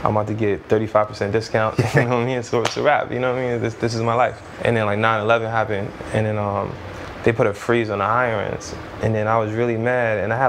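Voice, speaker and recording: Man in his thirties, low gravelly voice, flat delivery, rambling casual interview talk, faint traffic behind. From Trinidad, raised in Brooklyn. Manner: loose, rambling, lots of "you know what I mean". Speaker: music executive guest.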